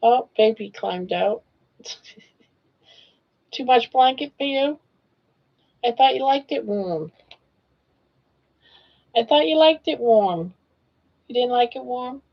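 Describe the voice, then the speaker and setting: Woman, 60s, gravelly voice, unhurried, chatty live-stream host, slightly muffled audio. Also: on the high side, expressive. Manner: chatty, joking, talks baby-talk to her pets. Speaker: livestream host. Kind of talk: casual live-stream chat.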